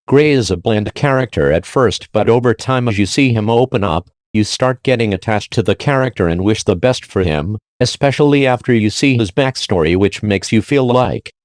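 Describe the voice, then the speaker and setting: Male review host, lowish pitch, dry recording. Reads a scripted ranked list in casual, slangy language. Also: normal speed, hardly any pauses, no echo. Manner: informal, slangy. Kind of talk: scripted countdown review narration.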